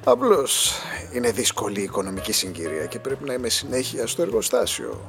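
An actor playing a nervous, evasive older husband making flustered excuses in a radio drama. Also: male voice, mid-range voice, wildly swooping pitch, vocal fry, fairly bright tone, soft background music, exaggerated voice. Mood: flustered, defensive. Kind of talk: Greek radio drama acting.

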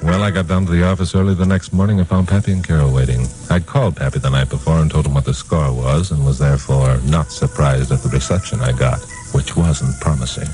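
Deep-voiced, confident radio newsman sleuth. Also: fairly even intonation, fast, few pauses, music in the background, rough recording. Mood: wry narration. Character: confident, wisecracking hard-boiled newsman investigator. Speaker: lead character and narrator. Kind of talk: 1950s radio drama acting and narration.

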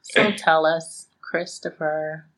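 A woman's voice: deep voice, fairly even intonation, takes it slowly, fairly bright tone, slight background noise, poor audio. A woman hosting a podcast, talking in an informal, conversational way. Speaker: podcast host. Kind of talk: casual commentary and chat.

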